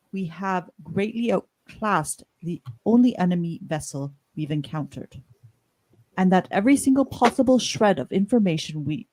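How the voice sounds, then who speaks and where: A woman playing a grave, principled male starship captain in an amateur podcast radio play, speaking deliberately and earnestly. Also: lowish voice, a little mellow, rough recording.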